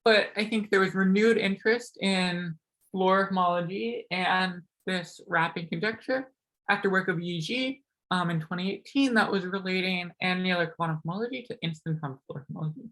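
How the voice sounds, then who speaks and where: Young male seminar speaker, voice a bit sore, bright tone, loose chatty delivery with many fillers. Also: sits high, moderate pace, rough recording. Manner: casual, many ums and likes, self-correcting. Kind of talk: academic math talk.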